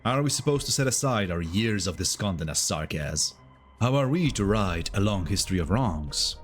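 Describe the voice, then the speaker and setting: A man voicing a stern, disillusioned mercenary commander in a dramatic game dub, speaking gravely and deliberately. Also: lowish voice, expressive, soft background music, lightly acted. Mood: bitter, convinced.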